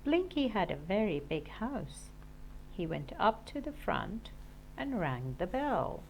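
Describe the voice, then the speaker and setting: Animated woman reading a storybook aloud. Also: mid-range voice, slow pace, creaky, slight background noise, rough recording. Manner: animated, dramatic read-aloud for children. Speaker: teacher reading a children's book aloud. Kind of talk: expressive storybook narration.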